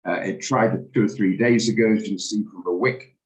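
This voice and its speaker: A man, hoarse from a cold, hosting a live trading room and talking through charts with hesitant, stammering restarts. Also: fairly low pitch, steady tone, fairly quick. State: hoarse, recovering from flu. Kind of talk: live market commentary on a video call.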